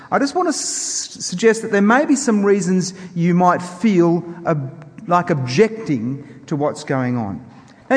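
A man preaching informally to a congregation, explaining in a measured way with emphatic pauses. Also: fairly high pitch. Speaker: preacher introducing a Bible reading. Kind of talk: informal sermon introduction to a congregation. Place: church hall before a congregation. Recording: echoey hall with long reverb.

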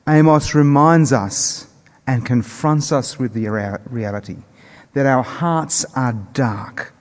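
A man preaching a sermon in a measured, earnest manner with weighty pauses. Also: medium pitch. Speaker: preacher introducing scripture. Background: soft plucked guitar music.

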